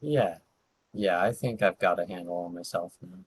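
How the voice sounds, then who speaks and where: A man's voice: sits low, normal speed, a little hiss or hum. A man playing a tabletop game over voice chat, asking hesitant, trailing questions. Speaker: player.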